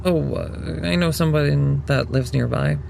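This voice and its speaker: A very creaky, slow-talking young woman, voiced by a man in an audio drama. The character is polite but guarded, giving hesitant, evasive answers. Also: on the high side, darkish tone, a little hiss or hum.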